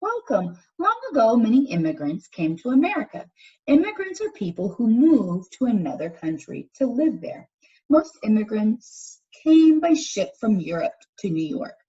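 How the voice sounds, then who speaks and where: Female teacher, children's read-aloud, animated intonation, deliberate instructional delivery, muffled lo-fi recording. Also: fairly high pitch. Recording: dull, distant microphone pickup.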